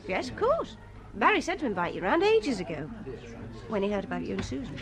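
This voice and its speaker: An actress playing a sensible, sympathetic schoolteacher, tactful and brisk, in a radio drama. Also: female voice, mid-range voice, wildly swooping pitch. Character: sensible, sympathetic woman schoolteacher, a colleague's friend. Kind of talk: naturalistic radio drama dialogue.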